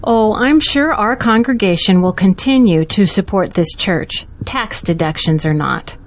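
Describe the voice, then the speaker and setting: An actress playing a gentle, hopeful churchgoing woman in an audio drama, with a wistful way of talking. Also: medium pitch, expressive, measured pace. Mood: concerned, hopeful.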